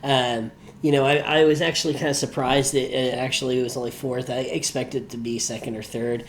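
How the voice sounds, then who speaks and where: Man, mid-pitched voice, casual podcast co-host, conversational opinions, slight background noise. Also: fairly even intonation, fairly quick.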